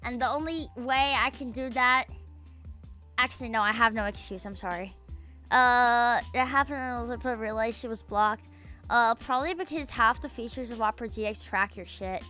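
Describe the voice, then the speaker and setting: A woman's voice: a little high, moderate pace, bright, forceful tone, soft background music. A young woman streaming, chatting casually and reading chat aloud, rambling and swearing, full of fillers and half-finished sentences. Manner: rambling, profane, filler-heavy, trails off mid-sentence. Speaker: VTuber streamer.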